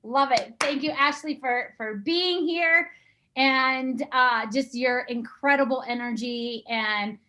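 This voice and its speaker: A woman's voice: high-pitched, takes it slowly, bright, forceful tone. A woman hosting a video call show, upbeat and gushing, with many fillers. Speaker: host. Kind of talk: casual video call chat.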